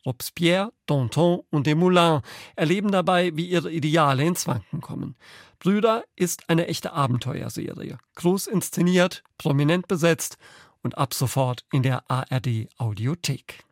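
Higher-voiced male radio host, dry sound. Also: lively intonation, normal speed, dry recording. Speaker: podcast host. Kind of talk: scripted radio presenting.

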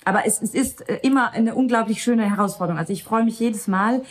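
A woman's voice: fairly high pitch, fast. A woman in her thirties, a Luxembourgish native speaker, talking reflectively as an actress in an interview, with restarts and light laughs. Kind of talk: candid interview conversation.

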